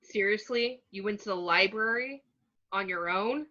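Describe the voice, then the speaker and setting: A woman's voice: medium pitch, moderate pace, pushed and bright, highs cut off, lightly acted. A woman reading as a brash, wisecracking teenage boy in an online table read, teasing and mocking.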